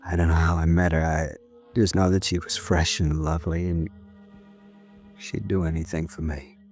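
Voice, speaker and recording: An actor playing a grieving, weary man confessing in a halting, brooding, husky voice, in 1950s radio drama style. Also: extremely low pitch, level tone, darkish tone, soft background music. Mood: mournful, confessing.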